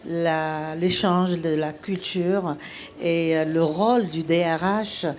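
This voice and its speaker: Lower-voiced woman HR leader, measured delivery. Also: steady tone. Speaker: HR association president. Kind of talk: spontaneous testimony.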